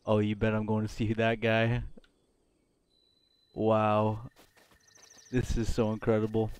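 A man's voice: on the low side, monotone, takes it slowly, no room sound. A man giving casual live video game commentary in short, easily awed reactions. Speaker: let's play commentator. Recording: clear game ambience noise behind. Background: video game ambience.

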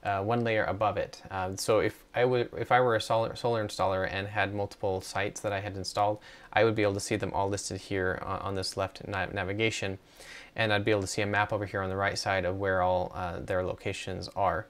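A middle-aged man narrates an on-screen walkthrough. His voice sits on the low side. He talks casually and conversationally, with some hesitation as he explains things. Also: little pitch movement, fairly quick, fairly bright tone. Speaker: tutorial presenter.

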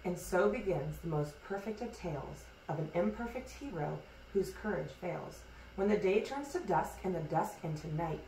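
Woman, storybook read-aloud, rhyming verse, expressive, measured, roomy sound, faint noise. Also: medium pitch. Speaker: storybook reader. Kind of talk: scripted read-aloud of a rhyming children's picture book. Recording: echoey room, distant mic, hissy noise.

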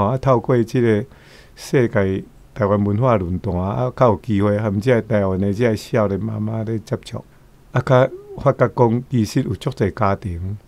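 A man's voice: lowish voice, warm tone. An older man with a Taiwanese accent, giving informal commentary and often tagging his phrases with little particles. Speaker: commentator, church elder.

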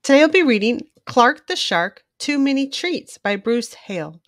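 Animated, high-pitched woman reading to children. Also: normal speed, no echo, clean studio sound. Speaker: children's book reader and host. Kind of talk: expressive scripted read-aloud for young children.

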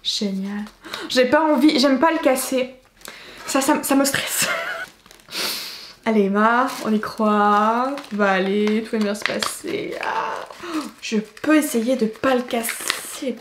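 A young woman chatting casually to camera as a book vlogger, playful and expressive, with a slight head cold. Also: a little high, slow. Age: young adult. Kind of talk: casual unboxing chat to camera. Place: small indoor room with bookshelves. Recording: roomy echo, faint background noise.

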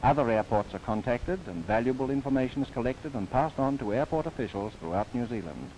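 A man's voice: medium pitch, lively intonation, a little mellow. 1930s newsreel-style male narrator, sweeping intonation. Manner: formal, measured, earnest delivery. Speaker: film narrator. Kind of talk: 1930s documentary film narration, scripted. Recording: noisy 1930s film soundtrack.

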